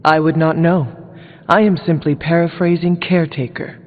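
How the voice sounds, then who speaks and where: An actor playing a solemn, stoic demon-born warrior hero in a video game, with formal, old-fashioned phrasing. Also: male voice, on the high side, fairly even intonation, moderate pace, narrow, tinny audio, lightly acted. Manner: formal, archaic phrasing without contractions. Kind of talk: video game dialogue acting. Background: background music.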